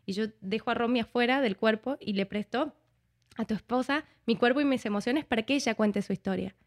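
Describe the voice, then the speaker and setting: Woman, slightly high voice, expressive, reflective interview talk. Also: a little fast. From Argentina. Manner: expressive, acts out imagined dialogue as she talks. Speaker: interview guest, actress.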